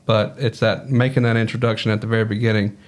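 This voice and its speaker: A man's voice: on the low side, little pitch movement, quick pace. A man with a Southern US accent, a podcast guest talking in an easygoing, rambling way. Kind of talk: casual podcast conversation.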